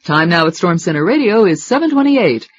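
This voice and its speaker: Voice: mid-range voice, very animated, brisk, dull audio, pitched above the performer's own voice, lightly acted. A narrator voicing a chatty local radio announcer reading out a list of storm closings. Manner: chatty broadcast delivery.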